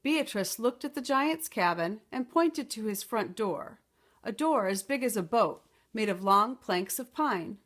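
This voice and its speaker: A woman reading a children's picture book aloud as a storytime teacher, expressive and explanatory. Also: a little high, measured pace.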